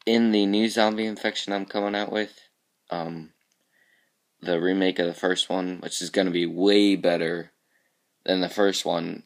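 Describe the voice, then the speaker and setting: A man with a very low voice, groggy and a little raspy from just waking up. He talks loosely through his game levels, letting phrases trail off into quiet mumbles as he films the screen. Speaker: level creator showing his game work. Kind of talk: casual screen-capture walkthrough.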